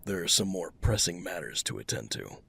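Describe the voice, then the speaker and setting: An actor playing a brooding, secretive masked vigilante detective in an audio drama, gravelly and terse. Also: male voice, sits low, sing-song, fairly quick, bright, forceful tone, no echo, exaggerated voice. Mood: stern, dismissive. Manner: terse, clipped.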